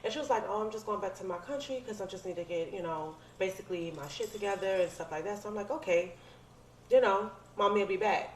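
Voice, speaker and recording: A woman's voice: mid-range voice, fast. An American woman in her thirties telling personal stories in a casual, conversational way full of slang. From United States. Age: 30s. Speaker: podcast guest. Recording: roomy echo, clear background noise.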